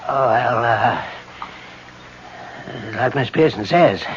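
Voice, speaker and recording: A man's voice: mid-range voice, animated, slow pace, a bit bright. An actor in an old radio drama playing a flustered, evasive boarding house handyman with a hesitant, stammering, nervous delivery. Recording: muffled, hissy old radio broadcast recording.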